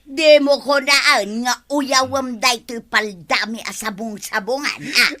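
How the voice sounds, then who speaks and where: A woman's voice: fairly high pitch, slow pace, sharp tone. A woman playing a nosy, cheeky village woman in an Ilocano comedy drama, exclaiming in an exaggerated way. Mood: complaining.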